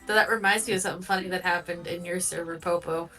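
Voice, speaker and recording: High-pitched woman player, rambling storyteller, sits back from the mic. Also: steady tone, fast, bright, forceful tone, distant mic, soft background music. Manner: rambling anecdote teller.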